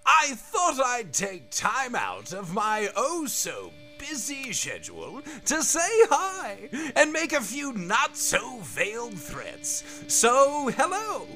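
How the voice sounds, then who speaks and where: Crazy gleeful comic villain, sharp bright voice, swooping all over, slow mocking singsong. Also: male voice, very high-pitched, huge pitch swings, soft background music, heavily acted. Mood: mocking menace. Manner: mocking, sing-song, drawn-out. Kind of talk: comic-book audio drama.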